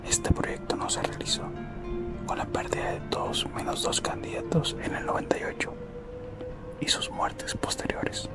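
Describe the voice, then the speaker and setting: A person whispering a scripted ASMR roleplay narration in a slow, deliberate way, as a corporate recruiter. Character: corporate recruiter recounting company history. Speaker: ASMR content creator. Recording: close whisper over loud background music. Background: music.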